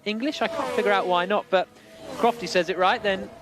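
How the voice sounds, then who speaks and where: A man's voice: high voice, fairly even intonation, brisk, noisy background. A man hosting live motorsport radio commentary with casual, jokey banter, often correcting himself.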